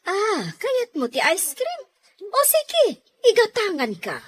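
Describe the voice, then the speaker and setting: Drama role: sympathetic, caring aunt played by a woman. Very high pitch, animated and expressive. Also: slow pace, full character voice. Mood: reassuring. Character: caring, concerned aunt who indulges her niece. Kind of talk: Ilocano family drama acting.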